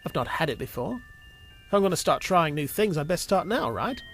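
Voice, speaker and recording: Man playing a defiant young man, lively and higher-pitched. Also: fairly quick, soft background music. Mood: earnest, modest. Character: young male Time Lord, defiant, earnest, unsure of himself. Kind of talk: audio story character acting.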